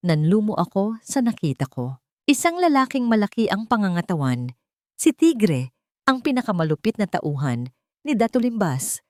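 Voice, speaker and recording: Woman storyteller, dramatic scripted read, kinda low voice, very dry sound. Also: darkish tone, no room sound. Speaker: audio story narrator. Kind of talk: dramatic scripted first-person narration.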